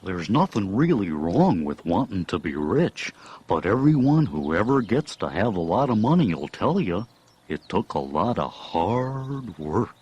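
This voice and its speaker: A man voicing a good-natured, simple creature sidekick with a husky, homespun manner in a children's story. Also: lowish voice, sing-song, unhurried, a little mellow, dull audio, heavily acted. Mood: folksy, friendly. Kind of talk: children's story cassette voice acting.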